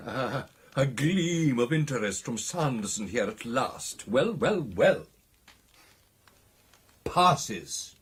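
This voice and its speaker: An actor playing an excitable British gentleman recounting a ghostly encounter in a radio drama, with theatrical, animated delivery. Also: medium pitch, slow, slightly rough, exaggerated voice. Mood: exasperated, animated. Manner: theatrical, animated storytelling with exclamations and hesitations.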